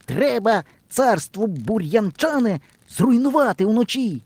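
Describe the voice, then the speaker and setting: Storybook boy hero, very high, plucky, mischievous and exclamatory. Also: very animated, measured pace, darkish tone, no echo, exaggerated voice. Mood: sly, eager.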